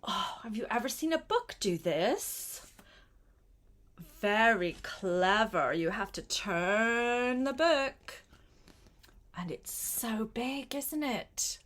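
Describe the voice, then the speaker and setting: An older woman, a grandmother, reads a picture book aloud to a young child, gently adding simple asides and questions. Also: fairly high pitch, animated, slow pace. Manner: gentle, simple child-directed talk with questions and short phrases.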